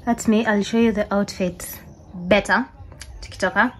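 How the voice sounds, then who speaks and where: A woman's voice: medium pitch, fairly even intonation, moderate pace. A young woman with a Kenyan accent chatting casually and informally to a handheld camera as she vlogs, slipping between two languages in slangy speech. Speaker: vlogger. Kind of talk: casual vlog chat, mixing English and Swahili. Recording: noisy handheld vlog, car and room ambience.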